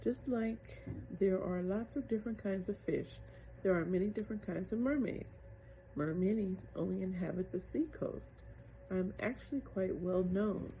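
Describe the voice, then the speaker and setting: A woman's voice: medium pitch, normal speed, mellow, slight background noise, close to an everyday voice, rough recording. A woman voicing a tiny, prim and proud little mermaid in a children's storybook. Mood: proud, chatty.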